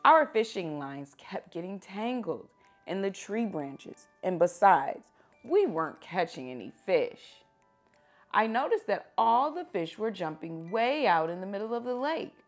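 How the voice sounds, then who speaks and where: A woman reading children's books aloud like a teacher at story time, with expressive, animated phrasing for young listeners. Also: medium pitch, unhurried. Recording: music behind the voice.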